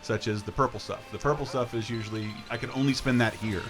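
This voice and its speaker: Male gameplay host, casual and explanatory, talking over clear game music. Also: medium pitch, fast. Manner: explanatory, walks listeners through the game. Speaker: host playing a video game on a show. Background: video game music.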